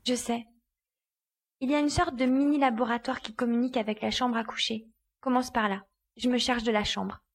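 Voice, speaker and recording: A woman playing a determined, inquisitive investigator in a science fiction audio drama, with deliberate, assured, articulate delivery. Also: a little high, fairly even intonation. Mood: businesslike.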